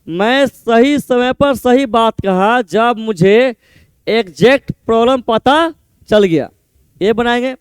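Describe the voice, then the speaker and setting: A male teacher, explaining idioms for an exam and repeating each meaning slowly as he writes. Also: very high-pitched, clean tone, no echo. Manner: mixes Hindi explanation with English example sentences. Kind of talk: exam-prep idiom lesson, writing on a board.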